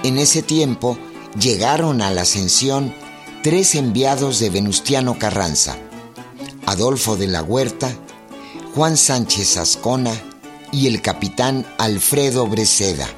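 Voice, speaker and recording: A man's voice: medium pitch, animated, takes it slowly. A man with a Mexican accent delivering dramatic scripted narration for a historical radio drama. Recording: studio narration over music. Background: music.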